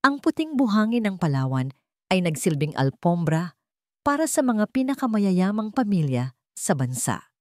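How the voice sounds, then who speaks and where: A woman with a Filipino accent narrating a scripted story in a measured, dramatic way with pauses. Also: medium pitch, big pitch swings, a little mellow, no echo, studio quality.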